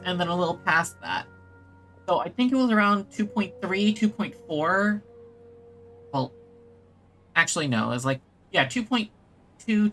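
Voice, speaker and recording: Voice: medium pitch, fairly bright tone. A game streamer chatting casually on a livestream, hesitating and thinking aloud in a rambling way. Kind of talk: casual gameplay livestream chat. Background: faint game music.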